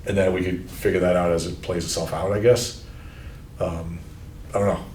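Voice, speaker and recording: A man's voice: sits low, steady tone, moderate pace, a little mellow, slight background noise. A man, the superintendent, thinking aloud at a school board meeting, hesitant and full of restarts. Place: meeting room. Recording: echoey room, distant mic.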